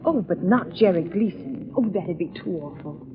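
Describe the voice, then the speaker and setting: An actress playing a sleuth's excitable, caring society lady companion, expressive and exclamatory, in old radio drama. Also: lowish voice, normal speed, background noise, natural voice. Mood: worried. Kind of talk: 1940s radio acting. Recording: dull old radio recording.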